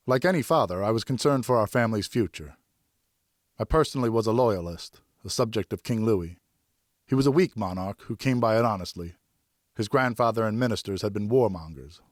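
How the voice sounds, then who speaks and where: Low-voiced man narrating an audiobook. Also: no echo, clean studio sound. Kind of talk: scripted first-person literary narration.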